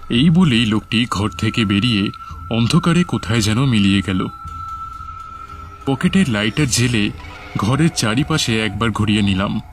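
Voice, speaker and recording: A man's voice: lowish voice, animated, moderate pace, pauses often, faint music behind. A man telling a first-person horror story as scripted cartoon narration. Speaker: narrator and lead character.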